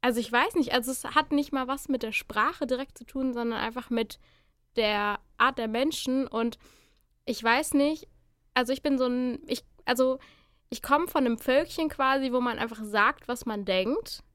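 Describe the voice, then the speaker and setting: Young woman from the Ruhr area, outspoken radio guest, slightly high voice. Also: moderate pace, a bit bright, smooth, no echo, studio quality. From Ruhr area, Germany. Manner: chatty, laughs often, outspoken. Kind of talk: casual radio chat.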